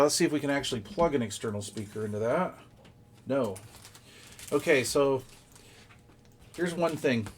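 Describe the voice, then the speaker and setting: A man giving a casual, hands-on unboxing commentary, explaining details informally and thinking aloud as he goes. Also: mid-range voice. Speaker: product reviewer.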